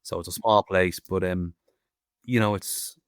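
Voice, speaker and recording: A man's voice: low-pitched, steady tone, measured pace, smooth, dry recording, studio quality. An Irish man in his thirties, a guest stone carver who talks in a rambling way, searching for words. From Ireland. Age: 30s. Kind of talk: casual interview chat. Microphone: remote call.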